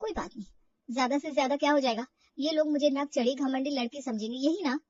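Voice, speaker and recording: Woman voicing a fretful, high-voiced young woman. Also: fast talker, a little mellow, far from the mic, muffled recording, lightly acted. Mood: anxious brooding. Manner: fretful, defensive. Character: insecure, self-doubting young woman from Old Delhi.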